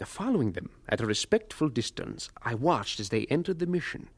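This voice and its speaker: Reminiscing evangelist narrator for a religious audio drama, earnest, mid-range voice, no room echo. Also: male voice, medium pitch, sing-song, a little fast. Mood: earnest storytelling.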